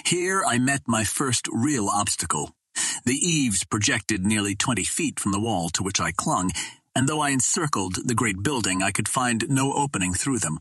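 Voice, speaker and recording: A man reading an adventure novel aloud as a narrator, with measured, dramatic storytelling. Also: fairly low pitch, lively intonation, a bit bright, husky, no room sound. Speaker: audiobook narrator.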